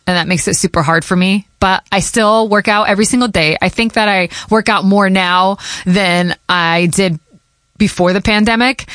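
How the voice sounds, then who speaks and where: A woman's voice: lowish voice, fairly bright tone, no echo. A woman in her thirties from Connecticut, a radio host whose first language is Portuguese, chatting casually with listeners and answering their questions, with a loose manner and laughs between thoughts. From Connecticut, USA.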